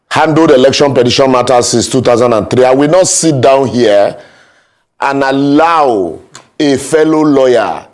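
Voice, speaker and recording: A man's voice: mid-range voice, darkish tone. A Nigerian man speaking formally as a lawyer and guest in a panel debate.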